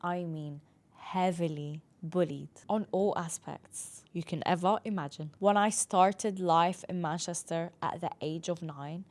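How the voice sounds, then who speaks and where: A woman's voice: on the low side, a little slow, a little mellow. A woman in her twenties, a mental health professional whose first language is not English, telling her own story in an earnest monologue and leaning hard on key words. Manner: earnest, emphatic, dwelling on words.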